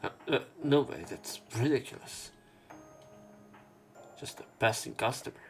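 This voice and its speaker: A man's voice: medium pitch, animated, measured pace, faint music behind. A man hosting a let's play, reading a visual novel's narration and dialogue aloud.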